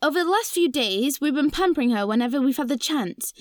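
A kind, reassuring young girl in a children's audio drama. The voice is very high and gently encouraging, with a dry, close sound. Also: animated, fairly quick, no room sound.

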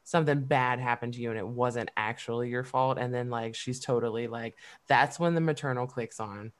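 A woman's voice: rumbling low, a little fast. A woman co-hosting a podcast in a casual, profane, emphatic chat, often breaking into laughs. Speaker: podcast co-host.

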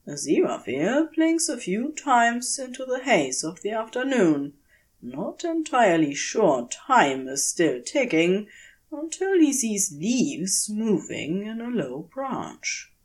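A woman reading scripted fiction aloud as an audiobook narrator, in a gentle, expressive, measured storytelling manner. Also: medium pitch. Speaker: audiobook reader of fan fiction.

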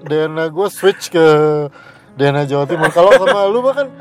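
A man from West Java co-hosting a casual podcast, easygoing and quick to chime in with agreeing murmurs. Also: on the high side, animated, soft background music. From West Java, Indonesia. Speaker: podcast co-host. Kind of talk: casual chat.

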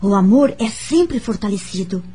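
A woman's voice: mid-range voice, lively intonation, normal speed, close to an everyday voice. An actress playing a kind, wise Brazilian woman who earnestly counsels a friend in a radio drama. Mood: earnest, consoling. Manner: earnest, gently instructive. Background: background music.

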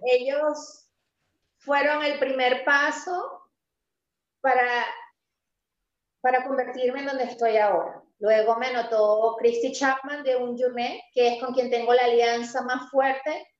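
A high-pitched woman talks through a relaxed interview, lively and emphatic. She leans on key words by slowing down and laughs readily. The sound is roomy and a little dull. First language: Spanish. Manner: animated and emphatic, stressing points slowly, laughs easily. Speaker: interview guest, pastry entrepreneur. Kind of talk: casual conversational interview.

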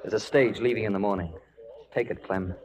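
An actor playing a bitter, sickly, hard-drinking frontier doctor in a 1940s radio drama, curt and stern. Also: male voice, fairly low pitch, brisk, a little mellow, slight background noise, natural voice. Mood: stern, insistent. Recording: 1940s radio broadcast, music behind.

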